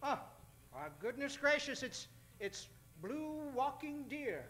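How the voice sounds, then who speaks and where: Tipsy frontier doc, very high pitched, stammering, folksy drawl for radio comedy. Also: male voice, big pitch swings, slow, fairly bright tone, full character voice. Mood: nervous, folksy. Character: folksy, nervous, hard-drinking Old West town doctor.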